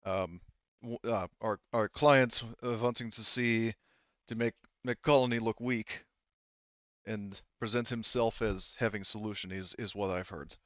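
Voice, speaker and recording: A man playing a captured mercenary who testifies frankly and haltingly in a tabletop roleplaying game. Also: on the low side, steady tone, fairly bright tone, dry recording, dull audio.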